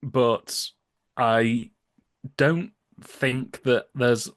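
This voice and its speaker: A man's voice: medium pitch, very slow, dry recording. British man explaining casually, dry close sound. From United Kingdom. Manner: chatty, humorous, wry, often laughing as he talks. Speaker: podcast guest. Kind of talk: casual podcast discussion, explaining.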